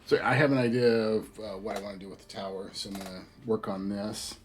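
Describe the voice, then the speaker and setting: A man's voice: fairly low pitch, fast. A middle-aged man with a cold, sounding stuffy, chatting casually to his livestream viewers while he builds a model. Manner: relaxed, chatty, thinking aloud while crafting. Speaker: hobby livestream host. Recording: faint background music.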